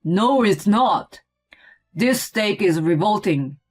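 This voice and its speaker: A woman's voice: mid-range voice, expressive, takes it slowly, slightly rough, soft background music. Woman playing a whiny, grumpy picky man. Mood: disgusted. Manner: indignant, complaining. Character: grumpy, whiny grown man, a picky meat-loving eater. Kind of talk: acted story dialogue.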